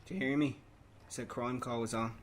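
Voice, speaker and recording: A man's voice: mid-range voice, steady tone, fairly quick, a little hiss or hum, natural voice. An actor playing a grieving, withdrawn young Irish father in a radio play, with a subdued, dejected delivery. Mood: distracted, fixated.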